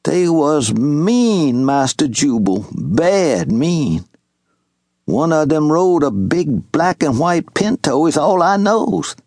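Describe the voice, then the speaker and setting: Brief: man doing old Southern servant, loyal, humble, raised high, slow earnest drawl, storybook read. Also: sing-song, darkish tone, no room sound, studio quality, full character voice. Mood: grave. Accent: Southern US rural dialect. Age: older. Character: elderly male farm servant, loyal and humble.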